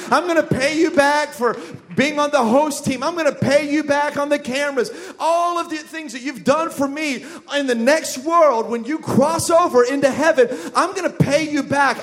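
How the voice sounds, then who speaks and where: A male pastor with a very high voice preaches to his church. His delivery is conversational and jokey, and it swells into loud, emphatic stretches. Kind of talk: sermon. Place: church sanctuary.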